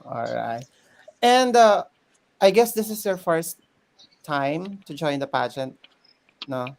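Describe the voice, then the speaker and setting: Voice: mid-range voice, expressive, takes it slowly, creaky. A host, running a live chat interview, switching between English and Tagalog with many fillers.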